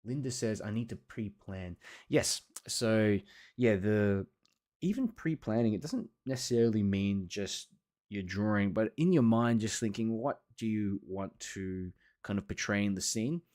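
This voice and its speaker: Male live workshop host: lowish pitch, informal, encouraging, hesitant delivery with many pauses. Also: darkish tone. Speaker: watercolour teacher hosting a live workshop. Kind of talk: casual livestream chat reading and answering viewer comments.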